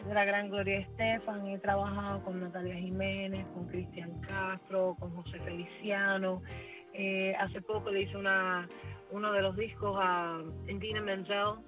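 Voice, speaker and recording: A woman in her thirties, a musician whose first language is English, talking warmly in a radio interview and often repeating words as she finds them. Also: medium pitch, poor audio. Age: 30s. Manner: warm, chatty, repeats words while finding them. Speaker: musician guest.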